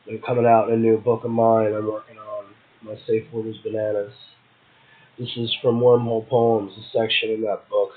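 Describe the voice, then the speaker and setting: A man's voice: lowish voice, monotone, normal speed. A man, a guest poet, reading verse and chatting casually. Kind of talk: poetry reading and casual chat. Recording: tinny phone line.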